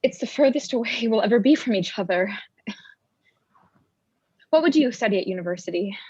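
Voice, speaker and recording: A yearning, bookish young woman, played by an actress in a staged drama reading. Her fairly high voice is husky, with a defensive edge. The recording is dry and a little dull. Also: animated, brisk, warm tone, dry recording. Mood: curious, dreamy.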